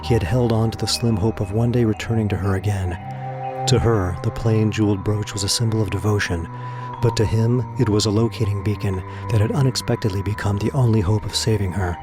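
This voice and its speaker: A man's voice: lowish voice, fairly quick, over music. A man delivering scripted, dramatic story narration for a science fiction audio drama.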